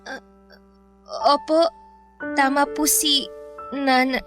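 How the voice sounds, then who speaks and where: A woman's voice: high-pitched, little pitch movement, slow pace, over music. A woman voicing a timid, obedient young woman who answers meekly. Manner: meek, polite.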